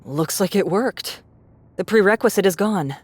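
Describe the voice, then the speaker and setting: A woman's voice: medium pitch, animated, normal speed, a little mellow, natural voice. A woman playing a pragmatic, self-assured young survivor in an audiobook drama, confident and matter-of-fact. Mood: reassuring, matter-of-fact.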